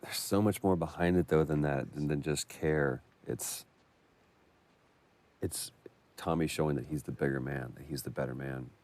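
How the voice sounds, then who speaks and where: A man's voice: rumbling low, normal speed, a little mellow. A man giving casual director's commentary as part of a game's creative team.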